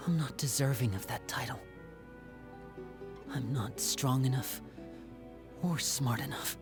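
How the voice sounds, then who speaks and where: A man's voice: mid-range voice, a little slow, lightly acted. An actor voicing an earnest, respectful young man, a loyal eldest son, in a video game. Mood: doubtful. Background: game score music.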